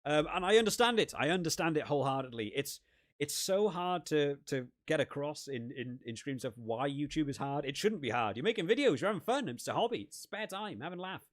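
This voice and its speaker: A man from the UK talks casually on a home live stream, reading and answering chat. He rambles and restarts his sentences often. His voice sounds worn and a little hoarse after a long session. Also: fairly high pitch, animated, a little fast. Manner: rambling, chatty, many restarts. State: voice wearing out, hoarse. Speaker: rugby gaming channel host.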